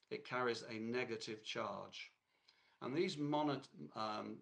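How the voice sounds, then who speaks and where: Hoarse, gravelly man lecturing. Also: medium pitch, a bit bright. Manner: halting, with many fillers and restarts. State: hoarse from a cold. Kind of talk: technical lecture.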